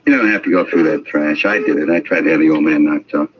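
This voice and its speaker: An actor playing a cornered, defensive American gambler with a terse, agitated manner, in a 1950s radio crime drama. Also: male voice, extremely low pitch, quick pace. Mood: desperate, bitter confession. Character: cornered, defensive gambler man turned bitter confessor. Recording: dull, hissy old radio recording.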